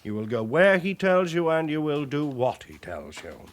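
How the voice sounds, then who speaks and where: A stern British chief inspector in a radio drama. His voice sits a little high and moves about in lively swings. He gives orders in a clipped, dryly commanding way. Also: normal speed. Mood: brisk, commanding. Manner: authoritative, clipped, orderly delivery.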